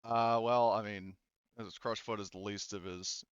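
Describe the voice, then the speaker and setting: A man acting as game master of a casual tabletop roleplaying game, haltingly thinking aloud as he goes. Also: lowish voice, steady tone, dull audio, heavy background noise.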